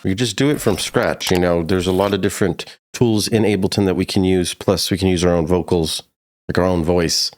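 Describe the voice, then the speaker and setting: A man's voice: deep voice, steady tone, brisk. A man narrating a casual music production tutorial, explaining things step by step in an informal way.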